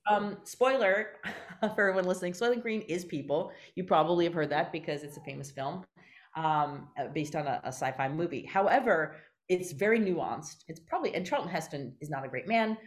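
Woman guest, low-pitched, animated and rambling with frequent laughs, roomy echo on the recording. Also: a little fast. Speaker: guest playwright. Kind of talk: casual conversation. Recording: roomy echoey sound.

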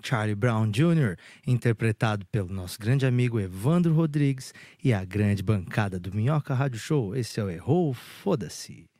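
A man's voice: on the low side, expressive, normal speed, warm tone, dry recording, studio quality, lightly acted. A man voicing a rock radio announcer with a Brazilian accent, introducing a song. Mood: announcing. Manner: announcer delivery, counting in a band.

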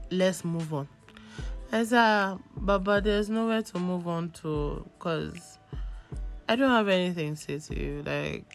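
Nigerian woman, young adult, podcast host with a cold, slightly low voice, faint music behind. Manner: chatty, animated, acts out texts in quotes. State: has a cold, losing her voice. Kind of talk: casual storytelling.

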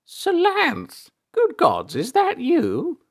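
A man voicing a warm, doddery, excitable old elder in a storybook, fond and full of exclamations. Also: medium pitch, moderate pace. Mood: delighted.

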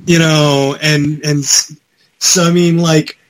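A man's voice: on the high side, level tone, slow, a little hiss or hum, poor audio. A man talking casually and with strong opinions as a podcast host in a pop-culture discussion.

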